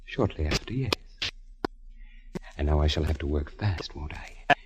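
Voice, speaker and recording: An actor playing a menacing, theatrical killer and embittered artist in an old radio drama, with slow, sinisterly polite delivery. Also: male voice, extremely low pitch, expressive. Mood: coldly menacing. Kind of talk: 1940s radio acting. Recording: vintage 1940s radio recording.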